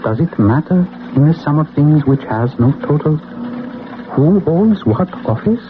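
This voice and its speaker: An actor playing a serene, otherworldly monk in a radio drama, speaking in a solemn, cryptic, chanting cadence. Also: male voice, a little high, expressive, a little slow. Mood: solemn, mystical. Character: serene, otherworldly monk who spins the fates. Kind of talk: old-time radio drama acting. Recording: tinny, noisy old radio broadcast recording.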